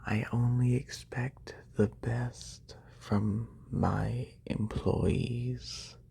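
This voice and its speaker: A man playing a haughty, wealthy lamia noble in a soft, breathy ASMR whisper, slow and deliberate, close to a binaural microphone. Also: mid-range voice, level tone, exaggerated voice. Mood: composed, condescending.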